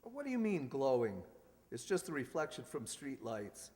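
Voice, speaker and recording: A man's voice: medium pitch, big pitch swings, moderate pace, darkish tone, lightly acted. A man playing a pompous, blustering school principal in an old-time radio sitcom, with a theatrical delivery. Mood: grumpy. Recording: long hall reverb.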